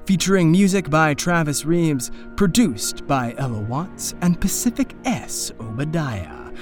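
An announcer briskly reading the end credits of an audio drama. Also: medium pitch, animated, faint music behind.

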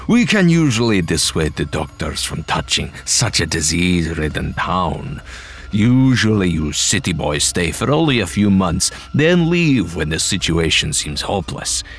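Very deep, slow, sinister vampire. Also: male voice, very animated, faint music behind, exaggerated voice. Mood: condescending. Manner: slow, condescending, deliberate. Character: menacing male vampire, rich, condescending, calmly sinister. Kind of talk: acted audio horror story.

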